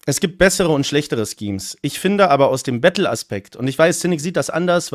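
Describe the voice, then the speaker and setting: A German-speaking man reacts to a rap battle on stream, voicing strong opinions in quick bursts. His voice sits fairly high, and the sound is dry with no room echo. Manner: opinionated, talks in fast bursts. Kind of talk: casual commentary.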